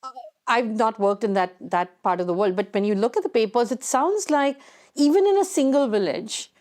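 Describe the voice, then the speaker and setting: A woman's voice: fairly high pitch, lively intonation, normal speed, darkish tone. A woman hosting a conversational technical interview, inquisitive and thinking aloud as she frames her questions. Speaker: interviewer, host.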